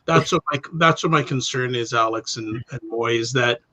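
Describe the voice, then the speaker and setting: A man's voice: medium pitch, moderate pace, bright, forceful tone. A middle-aged man hosting a talk show over a video call, asking probing questions of his guests. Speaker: host. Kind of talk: interview show. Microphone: webcam on video call.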